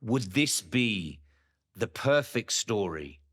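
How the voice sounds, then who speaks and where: A man's voice: on the low side, big pitch swings, takes it slowly, a bit bright, slightly rough. A man hosting an interview, asking long questions and giving friendly, encouraging replies. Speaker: interviewer host. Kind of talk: podcast interview.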